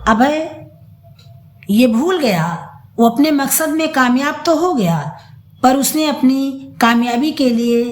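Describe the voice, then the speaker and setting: Female narrator for audio fiction: higher voice, very animated intonation, dramatic delivery. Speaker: storyteller. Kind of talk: scripted audio story narration.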